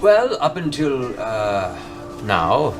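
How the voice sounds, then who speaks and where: Man playing a halting, prim elderly envoy. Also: mid-range voice, sing-song, music in the background, pitched above the performer's own voice. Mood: measured, officious. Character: fussy, formal elderly male temple envoy, bald and bespectacled. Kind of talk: tabletop roleplay in character.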